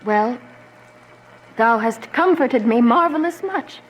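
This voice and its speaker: A woman's voice: a little high, lively intonation, takes it slowly, slight background noise, rough recording. An actress playing a passionate, desperate young noblewoman in a Shakespearean radio drama, delivering verse formally and dramatically. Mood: restrained, cool.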